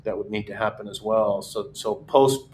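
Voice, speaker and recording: A man hosting a casual webinar chat, talking in a relaxed, steady way with frequent restarts. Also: lowish voice, normal speed, poor audio. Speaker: host.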